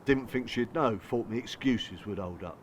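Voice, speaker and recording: A man's voice: medium pitch, normal speed, a little hiss or hum, lightly acted. An actor with a working-class English accent playing a protective, wounded father in a naturalistic audio drama, speaking in a colloquial, emotional way. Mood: weary, confiding. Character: protective, wounded father recounting abuse by his wife.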